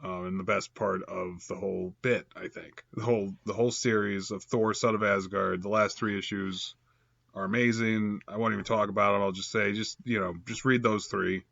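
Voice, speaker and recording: A man's voice: lowish voice, steady tone, moderate pace, gravelly, fairly bright tone, dry recording, muffled recording. Stuffed-up man rambling about comics. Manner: rambling, frequent fillers and self-corrections, chuckles. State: stuffed up from a cold. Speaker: comic book reviewer. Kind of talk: casual improvised review.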